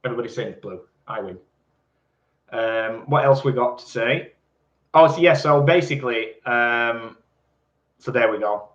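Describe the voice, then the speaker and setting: A man's voice: medium pitch, normal speed, pauses often, a bit bright. A man in his forties co-hosting a live stream, teasing his partner in casual banter and reading out viewer comments. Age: 40s. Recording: echoey, slightly dull live stream sound.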